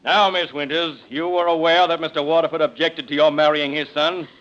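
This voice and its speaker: An actor playing a stern, pressing courtroom prosecutor in an old-time radio drama. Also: male voice, on the high side, level tone, fairly quick, fairly bright tone, faint music behind, lightly acted. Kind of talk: 1940s radio acting. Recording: muffled old radio recording.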